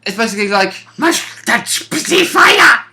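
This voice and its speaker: Male tabletop player, jokey and sweary interjector, lively intonation, bright sharp voice. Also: extremely high pitch, normal speed, gravelly. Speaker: player and co-host recapping a tabletop game. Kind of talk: casual banter about a fantasy game.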